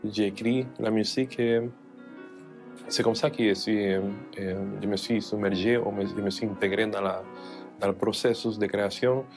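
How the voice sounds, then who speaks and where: A man's voice: on the low side, steady tone, normal speed, over music. A man from Cuba, a jazz pianist, speaking French as an in an interview, hesitant with many fillers and restarts. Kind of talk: interview testimony.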